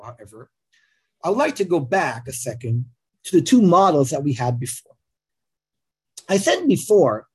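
A man's voice: a little high, darkish tone, breathy edge. A man, giving an informal, anecdotal Torah class. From Israel. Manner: conversational, anecdotal. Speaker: Torah teacher.

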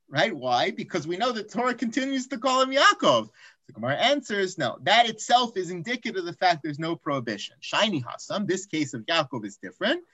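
A man teaching an online Talmud class, raising rhetorical questions and dropping into quiet asides. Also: high-pitched, dry recording. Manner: animated, asks rhetorical questions, drops to asides.

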